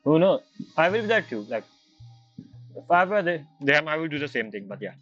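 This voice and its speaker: A casual game streamer with a middling pitch and halting, non-native English. They restart phrases while reacting, in slightly dull audio with faint music behind. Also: big pitch swings, a bit bright. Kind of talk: casual gameplay commentary.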